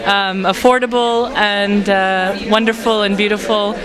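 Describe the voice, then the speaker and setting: A woman's voice: mid-range voice, steady tone, unhurried. A woman organizing an art fair, answering interview questions with frequent hesitations and fillers. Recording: music playing underneath.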